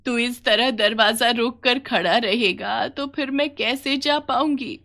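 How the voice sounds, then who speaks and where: A woman's voice: fairly high pitch, sharp tone, voice pushed up. Woman acting a sorrowful, pleading old village grandmother. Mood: weary, gentle. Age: older. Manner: drawn-out, plaintive pleading.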